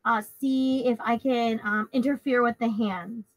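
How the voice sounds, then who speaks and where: A woman's voice: fairly high pitch, steady tone, measured pace, no echo, poor audio. A woman playing a tabletop roleplaying game, talking casually and a little hesitantly.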